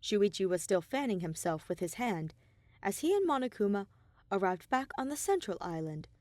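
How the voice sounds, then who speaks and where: A woman reading scripted fan fiction aloud as an expressive story narrator. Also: mid-range voice, moderate pace, no room sound. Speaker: fan fiction podcast reader.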